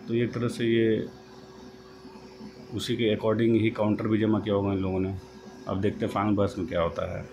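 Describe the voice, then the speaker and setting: A man giving an informal explanatory update, halting and rephrasing as he goes, mixing in English terms. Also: fairly low pitch, flat delivery, music in the background. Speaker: host of a job-news channel. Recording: noisy home-made voice-over, background noise.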